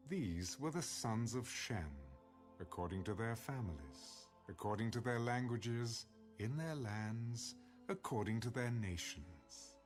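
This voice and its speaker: Slow, expressive male scripture narrator. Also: medium pitch, faint music behind. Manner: measured, solemn reading. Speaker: audio Bible narrator.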